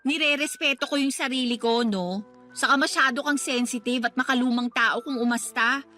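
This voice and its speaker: A woman's voice: high voice, moderate pace, pushed and bright, soft background music. An actress playing a brash, teasing and irritable young girlfriend in a Filipino radio drama, with a taunting, confrontational manner. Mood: annoyed, taunting.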